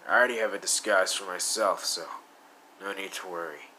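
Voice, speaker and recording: A man's voice: sits low, brisk, bright, forceful tone, a little hiss or hum, poor audio. A man voicing a brooding young male villain with blue fire, muttering and sometimes whispering in a fan audio roleplay.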